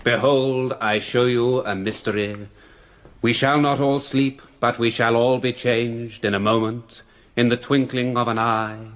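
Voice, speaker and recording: An English actor playing a solemn country parson in a radio drama, speaking in a measured, declamatory, formal manner. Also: male voice, mid-range voice, fairly bright tone, lightly acted. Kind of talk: liturgical reading in radio drama.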